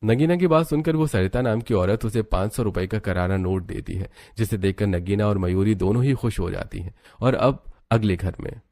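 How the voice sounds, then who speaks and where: A man's voice: fairly low pitch, normal speed, hardly any pauses, darkish tone. A man narrating a scripted moral story as its storyteller.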